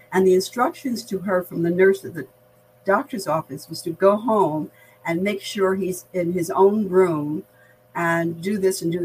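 An older woman with an American accent speaks in a measured, articulate, explanatory way, reflecting in conversation as an experienced interview guest. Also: mid-range voice, a little hiss or hum, poor audio. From Barbados. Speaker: veteran diversity practitioner, podcast guest.

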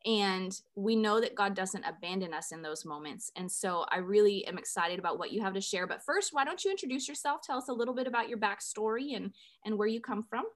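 A woman's voice: mid-range voice, lively intonation, brisk, hardly any pauses. Earnest woman hosting a faith podcast. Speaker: podcast host. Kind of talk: faith podcast intro and interview.

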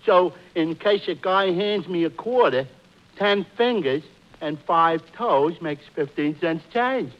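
An actor playing a dopey, earnest, slow-witted man in an old radio comedy. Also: high voice, animated. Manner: dopey, plodding. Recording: muffled vintage radio recording.

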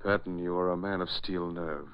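An actor playing a cold Nazi spy chief in a 1940s radio drama, with a German accent and a clipped, formal, commanding manner. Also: male voice, sits low, fairly even intonation, moderate pace, clean tone, natural voice. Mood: coolly menacing. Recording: dull old radio recording.

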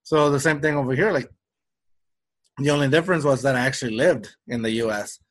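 A young man with a light Mexican Spanish accent, his voice sitting at a middling pitch. He talks loosely in a casual podcast chat, circling back, restarting sentences and leaning on fillers. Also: a little fast. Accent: slight Mexican Spanish. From Mexico-US border region. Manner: rambling, frequent restarts and fillers. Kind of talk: casual conversation.

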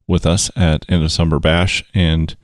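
A man hosting a relaxed chat show, speaking informally and with slang. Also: extremely low pitch, a little slow, no echo, studio quality. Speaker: podcast host. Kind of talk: casual chat.

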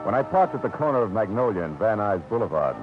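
An actor playing a hardboiled private detective, dry and world-weary, in 1940s radio acting. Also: male voice, fairly low pitch, very animated, quick pace. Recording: tinny old radio broadcast with music.

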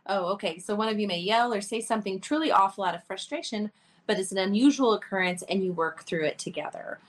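A woman's voice: mid-range voice, quick pace. A woman co-hosting a podcast, talking earnestly and breaking into laughter often. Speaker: podcast co-host. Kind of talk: candid conversational podcast talk.

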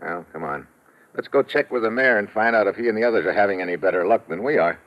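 An actor playing a blunt, hard-boiled American investigator and narrator in an old-time radio drama, talking in clipped slang. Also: male voice, on the low side, fast talker, lightly acted. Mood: wary, puzzled. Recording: muffled, noisy vintage radio recording.

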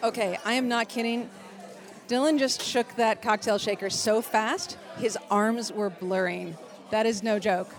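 A woman's voice: fairly high pitch, fairly even intonation, unhurried. An American woman hosting a travel show, chatting with curiosity and enthusiasm and asking lots of questions. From United States. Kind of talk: casual chat. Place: busy bar. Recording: loud bar music and crowd chatter. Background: music and crowd.

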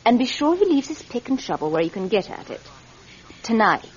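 An actress in a radio drama playing a bossy, cold-blooded, scheming sister. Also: female voice, on the high side, big pitch swings, brisk. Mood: coolly scheming. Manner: domineering, conniving, insistent. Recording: dull vintage radio recording, faint music.

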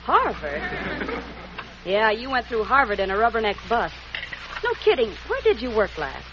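An actress playing a sassy young woman posing as a cook in 1940s radio acting, teasing and quick with a put-down. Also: fairly high pitch, wildly swooping pitch. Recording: dull old radio broadcast with hiss.

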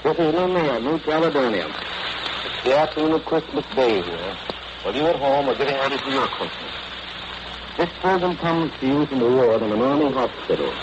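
A man's voice: mid-range voice, animated, moderate pace, noisy background. A man speaking on a 1940s wartime radio broadcast from the field.

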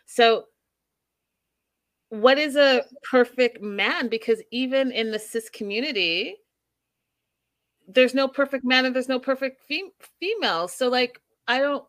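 A woman's voice: sits high, expressive, measured pace, pauses often, fairly bright tone, dry recording. A woman hosting a casual live discussion, thinking aloud with many fillers and restarts. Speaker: host.

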